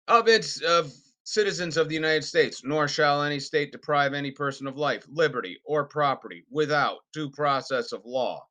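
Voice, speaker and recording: A man reading a formal legal document aloud in an unrehearsed, deliberate and emphatic way, with the occasional stumble. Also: mid-range voice, pushed and bright. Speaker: reader.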